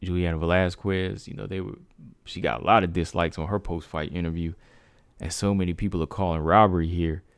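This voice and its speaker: Deep-voiced man hosting an MMA talk stream. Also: steady tone, a little fast, clean tone, no room sound, clean studio sound. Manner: talks straight to viewers, asks rhetorical questions. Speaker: MMA talk show host. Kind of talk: casual livestream commentary.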